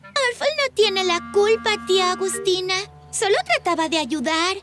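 High-pitched little girl Mila. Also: lively intonation, moderate pace. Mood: pleading. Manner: cheerful, exclamatory. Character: Mila, bright eager helpful little girl. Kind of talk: children's cartoon dub. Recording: music behind.

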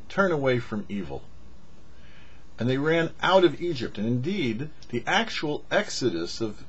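A middle-aged man giving a scripted religious teaching to camera as a rabbi, deliberate and preacherly with emphatic pauses. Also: medium pitch, husky. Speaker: rabbi, show host. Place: small indoor studio with cloth backdrop. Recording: dull, muffled lo-fi audio.

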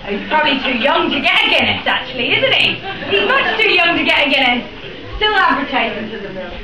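A woman's voice: high voice, big pitch swings, a little fast, a crowd in the background. A young woman from Northern Ireland with a Northern Irish accent, performing spoken word poetry in a library with a chatty, joking introduction. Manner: chatty and joking between rhyming verses. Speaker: performance poet. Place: library event room. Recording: roomy, distant, dull room recording.

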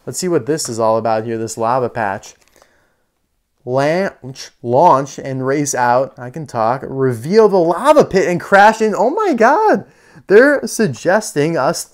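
A man with a clear, smooth voice talks casually through a hands-on toy demonstration. His pitch sits in the middle range. He is chatty and unscripted, thinking aloud as he works. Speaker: toy reviewer. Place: indoor room full of toy displays.

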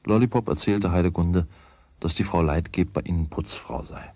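A man's voice: low voice, normal speed, mellow, dry recording. A man narrating a children's radio play as its scripted storyteller. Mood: measured.

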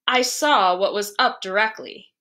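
A woman reading a literary story aloud with expressive, dramatic and deliberate phrasing. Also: medium pitch.

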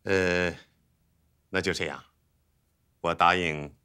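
An actor in a TV drama playing a bossy, scheming military commander who bargains and threatens. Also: male voice, low-pitched, fairly even intonation, slow, sharp tone, clean tone, exaggerated voice. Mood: sly, bargaining. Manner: commanding, haggling, bullying. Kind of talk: Chinese TV drama acting.

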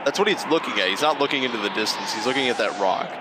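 A man's voice: medium pitch, animated, rapid-fire, heavy background noise. A man giving joking, rambling commentary while playing a video game. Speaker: let's play host. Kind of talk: casual gaming commentary. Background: game music.

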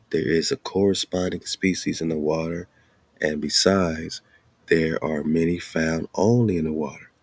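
A man's voice: deep voice, breathy edge, dry recording. A man hosting a show, reading aloud and commenting casually with informal, slangy asides. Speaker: radio show host.

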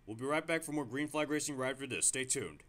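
A man giving play-by-play commentary on a simulated stock car race, getting excited at close moments and restarting sentences with fillers. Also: mid-range voice, fast. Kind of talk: amateur sim racing play-by-play commentary.

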